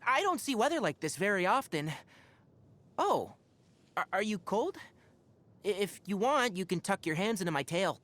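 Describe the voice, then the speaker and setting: An actor playing a young fox-eared forest ranger in a video game, scholarly and patient, speaking in an articulate, instructive and gently wry way. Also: male voice, high voice, big pitch swings, normal speed, long pauses. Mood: light, friendly. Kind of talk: scripted game character voice lines.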